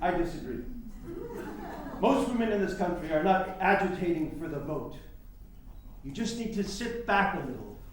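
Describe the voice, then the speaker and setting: A man's voice: sits high, sing-song, moderate pace, long pauses, slight background noise. A man acting a condescending, self-assured nineteenth-century reformer in a theatrical staged reading, speaking in a patronizing, orator-like way. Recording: very echoey, roomy hall recording.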